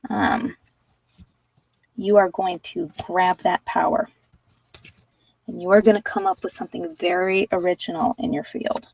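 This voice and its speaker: A woman's voice: on the low side, lively intonation, a little slow, gravelly, pauses often, a little mellow, slightly rough, dry recording. Woman chatting casually, slightly distant mic. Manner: conversational, informal, anecdotal asides. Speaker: host giving tarot card readings. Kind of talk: casual chatty live tarot reading.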